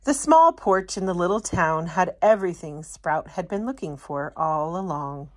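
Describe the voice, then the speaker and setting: A woman reading a children's picture book aloud as an expressive storyteller. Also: on the low side, moderate pace. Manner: expressive storytelling, putting on character voices. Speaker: storytime reader.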